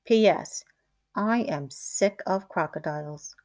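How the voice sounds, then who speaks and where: A woman's voice: fairly low pitch, slow pace, a little mellow. A woman voicing a pompous, insistent male stage performer in a storybook, with grandiose, theatrical declaiming. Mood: formal.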